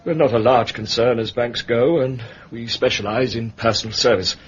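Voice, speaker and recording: Actor as a fussy English bank manager, muffled recording. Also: male voice, medium pitch, steady tone, moderate pace, natural voice. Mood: formal, nostalgic. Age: middle-aged. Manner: hesitant, formal, fussy phrasing. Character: fussy, discreet male bank manager, middle-aged, awkwardly proper. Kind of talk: British period detective radio drama acting. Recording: muffled radio recording, clear background noise.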